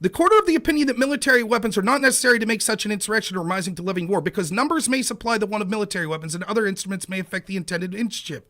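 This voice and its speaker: A man hosting a heated debate, arguing his point and reading legal text aloud in a measured way. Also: high-pitched, bright, forceful tone. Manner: argumentative, reads legal text aloud deliberately. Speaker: show host.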